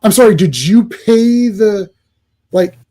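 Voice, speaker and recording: A man co-hosting a casual podcast, talking in an animated, rambling way with many restarts. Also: high-pitched, slow pace. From Croatian family, born locally. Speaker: podcast co-host. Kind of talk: casual chat.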